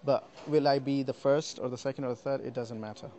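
A man who is a competition entrant, answering interview questions earnestly and hesitantly, with many pauses and repeated words. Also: medium pitch, fairly even intonation, dark tone, muffled recording. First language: not Arabic. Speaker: competition participant. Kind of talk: vox-pop interview answers. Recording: chattering crowd behind.

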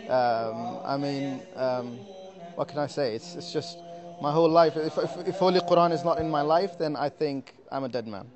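A man's voice: on the high side, normal speed. Earnest young man amid a crowd. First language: not Arabic. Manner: earnest, hesitant with many ums and repeats. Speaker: competition participant. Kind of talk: vox-pop interview answers. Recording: chattering crowd behind.

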